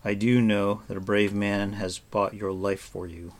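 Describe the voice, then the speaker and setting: A man's voice: on the low side, flat delivery, measured pace. A man voicing a hot-headed, bitter young hero in a storybook reading, blunt and accusing. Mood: bitter, grieving.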